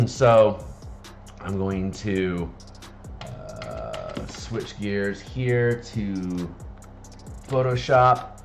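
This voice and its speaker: Raspy-voiced man streaming early morning. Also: lowish voice, wildly swooping pitch, very slow, pauses often, music in the background. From Seattle area. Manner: rambling, full of fillers and restarts. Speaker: streamer and game designer. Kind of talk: casual live-stream chat.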